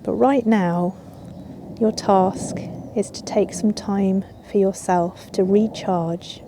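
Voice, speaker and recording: A woman yoga teacher guiding a relaxation in a soothing, unhurried way with long pauses. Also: medium pitch, fairly even intonation, noisy background. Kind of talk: guided yoga nidra relaxation. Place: outdoors in a garden. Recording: outdoor ambience with birdsong. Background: birds and garden ambience.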